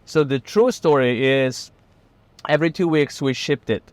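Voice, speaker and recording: A man's voice: on the high side, normal speed, dry recording. A young man with a Lithuanian accent, a magician hosting a casual chat in a car. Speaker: magician and channel host.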